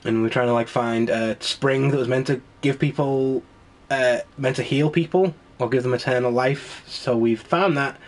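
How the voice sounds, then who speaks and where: A man's voice: medium pitch, moderate pace. A man with a cold, sounding snuffly, giving rambling, wry commentary as he plays a video game. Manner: rambling and wry, with frequent laughs. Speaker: let's play host. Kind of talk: casual gameplay commentary.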